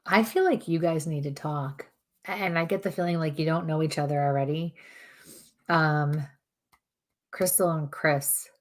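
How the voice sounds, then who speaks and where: A woman medium giving spirit messages on a live show in a calm, earnest way, her throat strained as she loses her voice. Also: low-pitched. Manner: calm, earnest, relays messages in repeated "I feel like" phrasing. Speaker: psychic medium and co-host. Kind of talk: live chat-room spirit readings.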